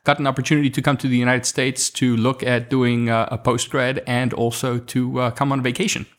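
A man in his thirties from South Africa, Afrikaans his first language, recounts his past as a podcast guest. His pitch sits mid-range for a man. He speaks in a relaxed, reflective way, often pausing and restarting his sentences. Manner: reflective, with frequent restarts and fillers. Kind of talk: conversational interview storytelling.

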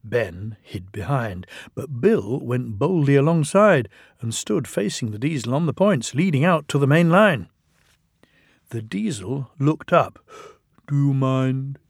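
A man's voice: medium pitch, big pitch swings, unhurried, gravelly, breathy edge, no room sound, studio quality. A man narrating a children's storybook as an audiobook reader.

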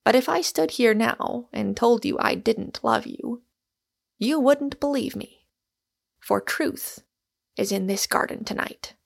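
A woman's voice: a little high, slow pace, creaky, voice pushed up, heavily acted. A woman voicing an exuberant, argumentative Irishman, a storybook suitor who declaims with great emphasis. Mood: earnest, insistent.

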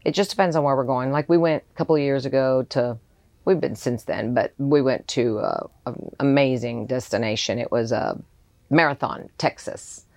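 A woman's voice: extremely low pitch, moderate pace, gravelly, no room sound. A middle-aged woman, a charter company owner, chatting casually as a podcast guest, telling stories animatedly, laughing often and acting out what people said. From raised abroad, settled in Houston. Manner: animated storyteller who acts out quoted remarks and laughs often.

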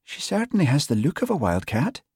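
A man voicing a young Scottish policewoman, sweet and teasing, with a soft Hebridean lilt, in an audiobook. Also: a little high, huge pitch swings, fairly quick, breathy edge, dry recording, studio quality, voice pushed up, exaggerated voice. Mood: amused. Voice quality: soft, lilting.

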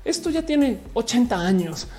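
A woman's voice: a little high, big pitch swings, moderate pace, a little mellow. A woman with a Mexican accent hosting a casual livestream, reading out viewer comments and answering them in colloquial, slangy speech.

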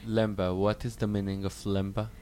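Low-voiced man hosting camp radio. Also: little pitch movement, normal speed. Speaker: radio host. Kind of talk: live radio interview. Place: summer camp radio station. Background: loud guitar music.

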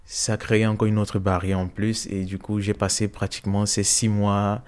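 A young man from Cameroon giving a hesitant, soft-spoken personal testimony in an interview, with many fillers. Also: fairly low pitch, little pitch movement, moderate pace, smooth.

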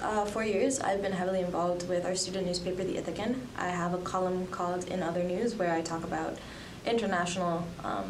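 Young woman, lowish voice, chatty with fillers, far from the mic, roomy echo, background hiss. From Dominican Republic and Colombia. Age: young adult. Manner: chatty, many fillers and hedges. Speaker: student journalist guest. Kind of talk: casual interview answers. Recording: echoey room, distant from mic, noisy.

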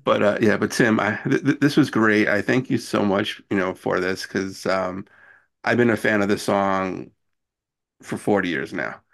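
A middle-aged man interviews in a casual, conversational way. His voice sits fairly low with heavy fry, and he is agreeable, often dropping in brief acknowledgements. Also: moderate pace, fairly bright tone. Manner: agreeable, frequent short acknowledgements. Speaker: interviewer, host.

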